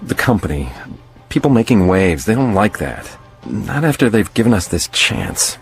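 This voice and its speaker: An actor playing an uneasy, irritated middle-aged father in a radio drama, stern but evasive, with halting, hesitant speech. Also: on the low side, very animated, gravelly, soft background music. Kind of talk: naturalistic radio drama acting.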